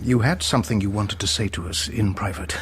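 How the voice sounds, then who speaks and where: An actor playing a sensible, plain-spoken English doctor in a period radio drama, measured and blunt. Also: male voice, on the low side, a bit bright, a little hiss or hum, natural voice. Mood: calm, reassuring.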